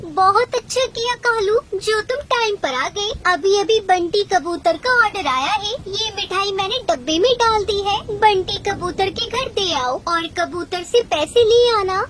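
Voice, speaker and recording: A woman's voice: very high-pitched, animated, measured pace, few pauses, fairly bright tone, a little hiss or hum, full character voice. A woman voicing a small, hardworking female bird who keeps a shop in a cartoon story, polite and businesslike. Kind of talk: Hindi cartoon story voice acting.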